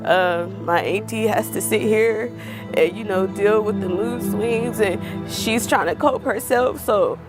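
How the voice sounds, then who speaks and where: A woman's voice: mid-range voice, measured pace. A woman talking unscripted as an interviewee for the news. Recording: interview clip under loud music.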